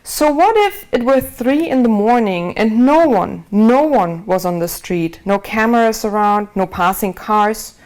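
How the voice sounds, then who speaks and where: A woman's voice: fairly high pitch, lively intonation, measured pace, few pauses, darkish tone, poor audio. Curious old ex-con guy grilling someone, german lady reading his lines. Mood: probing. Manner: questioning, insistent. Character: older male ex-prisoner, curious, probing.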